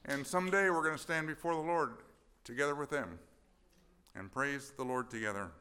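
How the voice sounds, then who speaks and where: A man's voice: mid-range voice, normal speed, pushed and bright, slight background noise. A man giving a mission presentation at a church gathering, talking conversationally and putting questions to the audience.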